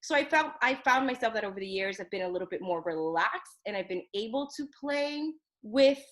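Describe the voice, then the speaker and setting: Woman podcast guest, lively and chatty, mid-pitched voice, relaxed interview register. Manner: animated storyteller, quick bursts, laughs often. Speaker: podcast guest, youth journalism program director. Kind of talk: casual interview chat.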